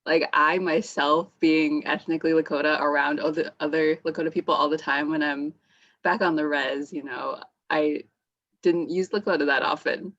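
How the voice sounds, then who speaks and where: A young woman student on a panel, talking casually and hesitantly with frequent "like" and soft laughs. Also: low-pitched, fairly even intonation, moderate pace, lo-fi sound. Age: young adult. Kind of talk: casual panel talk over video call.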